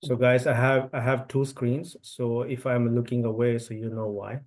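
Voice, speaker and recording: A man's voice: medium pitch, flat delivery, moderate pace, darkish tone. A man from India, a native Telugu speaker, giving an online webinar talk through a computer mic, with many fillers and restarts. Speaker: event speaker. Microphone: computer mic on video call.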